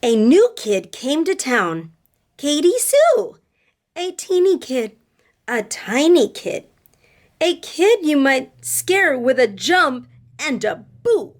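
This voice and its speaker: A woman's voice: sits high, very animated, slow. A woman reading a picture book aloud to children like a teacher, speaking to them directly with dramatic emphasis. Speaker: read-aloud storyteller, teacher-like.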